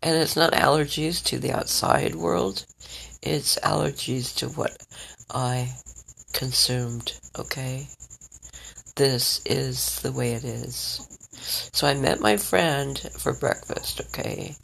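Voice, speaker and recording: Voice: medium pitch, slow, vocal fry, fairly bright tone. A congested vlogger with a scratchy voice, rambling and checking in with the listener again and again. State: stuffed up, congested. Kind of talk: rambling personal vlog.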